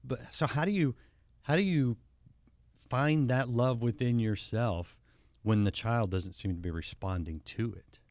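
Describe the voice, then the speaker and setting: A man's voice: mid-range voice, a little slow, a little mellow, dry recording. A man hosting a talk show, asking thoughtful questions and often restarting his phrases. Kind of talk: interview conversation.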